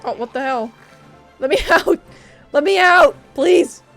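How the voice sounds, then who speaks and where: A woman's voice: high voice, animated, measured pace, fairly bright tone. A woman game streamer giving casual, playful, giggly live reactions while playing. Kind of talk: casual live gameplay commentary. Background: game music.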